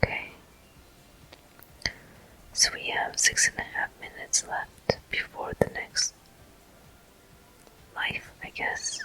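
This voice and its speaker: A gameplay host speaks entirely in a breathy whisper for ASMR. The sound is very bright and airy, with no real voice behind it. The delivery is soft and unhurried, gently narrating each step of play. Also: poor audio. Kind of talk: ASMR whispered game commentary.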